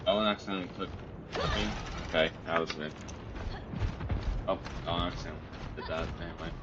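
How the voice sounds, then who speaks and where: A man's voice: sits low, fairly even intonation, normal speed, noisy background. A man casually commentating while he plays a video game, speaking hesitantly as if half to himself. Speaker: gamer practicing character voice lines. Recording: muffled mic under loud game music. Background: loud game music and mechanical effects.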